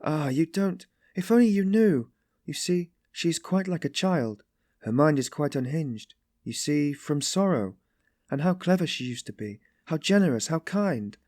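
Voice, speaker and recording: A man's voice: mid-range voice, moderate pace, darkish tone, no echo, clean studio sound, voice pushed up, natural voice. A man voicing an anguished, meek but passionately compassionate young woman, pleading in breathless exclamations and repetitions for an audiobook story. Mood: distressed.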